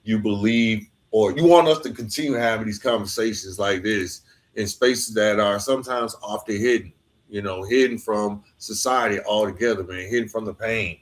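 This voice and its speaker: A man's voice: lowish voice, moderate pace. A man hosting a conversational interview podcast, speaking in an earnest, informal, colloquial way. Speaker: podcast host.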